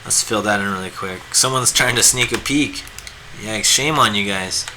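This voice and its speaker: A hoarse, raspy man chatting casually and rambling with lots of fillers while he draws on a live stream. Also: lowish voice, normal speed, bright, forceful tone, a little hiss or hum. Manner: laid-back, rambling, many fillers. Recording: music playing behind the voice. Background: guitar music.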